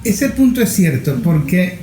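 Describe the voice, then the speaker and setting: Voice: medium pitch, big pitch swings, measured pace, mellow. An interviewer talking in a conversational interview. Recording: echoey room, faint music.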